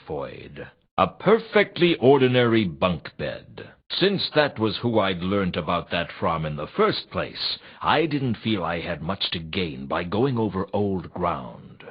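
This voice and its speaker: An actor playing a world-weary, wisecracking private investigator, narrating a hardboiled noir video game in a dry, brooding deadpan. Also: male voice, fairly low pitch, breathy edge, full character voice. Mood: brooding, wry. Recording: slightly dull, lo-fi game audio.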